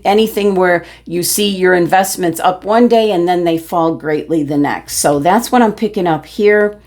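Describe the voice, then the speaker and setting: A woman with a hoarse, fading voice, casually talking through a tarot reading with many fillers and restarts. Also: lowish voice, a little mellow. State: losing her voice, throat clearing. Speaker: tarot reader.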